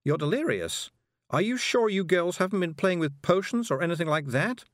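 Man as kindly storybook witch woman, fussy, earnest, raised pitch, lilting. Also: sing-song, normal speed, no echo, clean studio sound. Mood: concerned.

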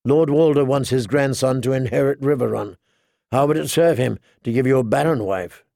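A man voicing a composed, sober noblewoman who speaks in a measured, formal, cautioning way in an audiobook. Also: mid-range voice, gravelly, dark tone, dry recording, clean studio sound, close to an everyday voice. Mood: reasoning, dry. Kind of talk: audiobook character dialogue.

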